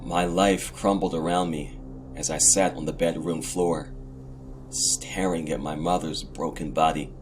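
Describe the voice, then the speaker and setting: A man playing a grief-stricken young orphan, narrating his tragedy in a brooding, dramatic horror audio drama. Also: deep voice. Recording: faint music under the voice.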